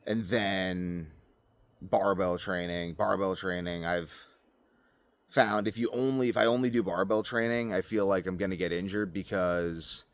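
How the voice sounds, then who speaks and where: A man's voice: low voice, unhurried, no room sound. A man talking casually to viewers in a loose, informal, rambling vlog style. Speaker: vlogger. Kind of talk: casual rambling fitness and lifestyle vlog talk.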